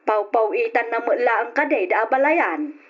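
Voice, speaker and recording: A cheerful, greedy older mother who likes to gamble. Her voice is a little high, with an Ilocano accent and old radio-drama delivery. The recording sounds dull. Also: lively intonation, moderate pace. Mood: prying, gleeful.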